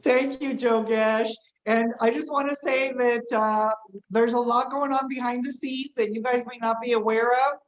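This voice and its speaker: A woman's voice: a little high, steady tone, moderate pace, slight background noise. A woman informally hosting an online group meeting, speaking in an effusive, encouraging way. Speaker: host of an online coaching community meetup. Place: online group video call. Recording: dull, lo-fi online call audio.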